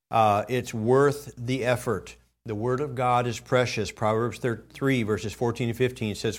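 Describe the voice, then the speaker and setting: A man preaching a sermon to his church as pastor, folksy and storytelling, his voice a little gravelly. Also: medium pitch. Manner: folksy storytelling, loud bursts when quoting his own preaching. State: slightly gravelly voice.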